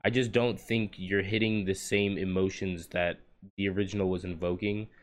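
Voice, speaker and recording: A young man who grew up speaking Polish talks with an American accent. He co-hosts a podcast in a relaxed chat, swears freely and laughs easily. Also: deep voice, moderate pace. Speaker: podcast co-host. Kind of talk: casual chat.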